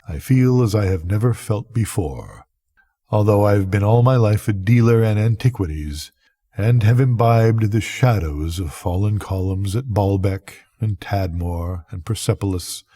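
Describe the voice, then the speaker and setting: An older man, a grandfather, reading a literary tale aloud as a bedtime story with measured, expressive, dramatic delivery and a husky voice. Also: lowish voice. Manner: measured, expressive, theatrical at climaxes.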